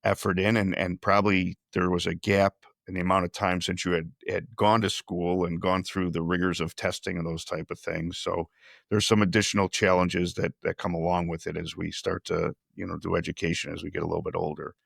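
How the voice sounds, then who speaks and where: A man with a very low, gravelly voice hosts a podcast interview. He talks in a measured, unhurried way and often restarts his sentences. The sound is dry and close. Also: level tone, a bit bright, no room sound. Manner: measured, with frequent restarts and fillers. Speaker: podcast host. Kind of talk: conversational interview.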